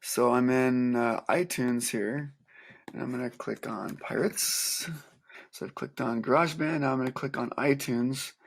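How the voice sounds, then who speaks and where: A man with a soft, gravelly voice that has a husky edge. He explains a music software setup calmly, one step at a time, like a teacher walking students through it. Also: medium pitch, unhurried. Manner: calm step-by-step explaining, counting aloud. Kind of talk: screen-recorded software tutorial.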